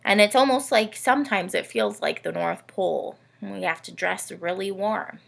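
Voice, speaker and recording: A woman hosting storytime for children in an animated, teacherly way, often putting questions to her young listeners. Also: medium pitch, moderate pace, vocal fry. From Canada. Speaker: children's read-aloud host.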